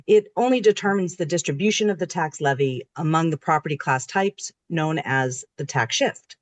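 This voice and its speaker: A woman who is hoarse from a cold, speaking on a video call as a town assessor and walking through a formal presentation in a methodical, explanatory way. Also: low-pitched, normal speed, no echo. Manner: methodical, explanatory, reading from slides. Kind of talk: formal public meeting presentation.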